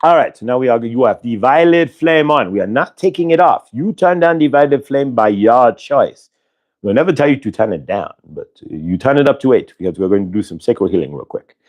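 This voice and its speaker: A man voicing a benevolent otherworldly spirit collective in an affected, vaguely Indian-sounding accent, with drawn-out emphatic words, as in a live channeled healing session. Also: medium pitch, expressive, a little mellow, natural voice. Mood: soothing, instructive. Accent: affected, vaguely Indian-sounding put-on accent.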